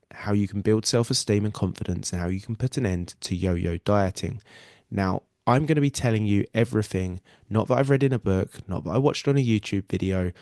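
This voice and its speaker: Man, nasal cold, gravelly low voice, dry close sound, earnest webinar host. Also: a little mellow, no echo. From England. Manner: earnest, reassuring, repeats himself. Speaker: weight loss coach and podcast host. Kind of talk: live webinar presentation.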